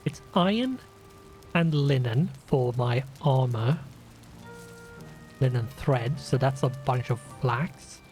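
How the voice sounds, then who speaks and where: A man with a Scandinavian accent, sniffly from a runny nose, talking slowly through a game he plays. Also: mid-range voice, lively intonation, darkish tone, soft background music. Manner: slow, deliberate, with restarts and fillers. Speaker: gaming channel host. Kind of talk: casual let's play commentary.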